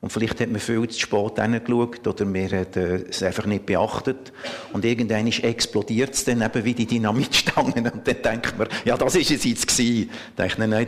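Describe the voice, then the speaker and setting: Swiss German pastor in an echoing hall. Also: male voice, on the low side. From Canton of Lucerne, Switzerland. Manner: earnest, conversational, with many fillers. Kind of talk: sermon.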